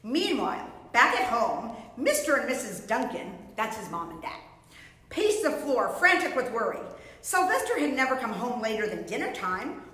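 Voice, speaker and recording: Woman children's storyteller, middle-aged: highish, very animated, theatrical, reverberant room. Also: normal speed. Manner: theatrical, explanatory, addressing young children. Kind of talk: read-aloud of a picture book to children. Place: indoors before a painted storybook backdrop.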